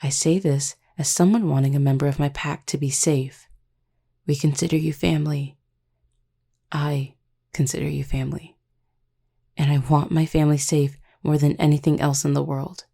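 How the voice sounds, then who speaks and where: Low, gravelly shifter pack leader played by a woman. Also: steady tone, moderate pace, dark tone, exaggerated voice. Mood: stern, caring. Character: protective female werewolf pack leader, firm but caring. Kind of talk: audio roleplay acting.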